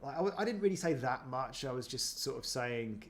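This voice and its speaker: A man's voice: medium pitch, lively intonation, a little fast. A man chatting casually as he reacts to footage of himself, self-deprecating, with many ums and restarts. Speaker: running vlogger.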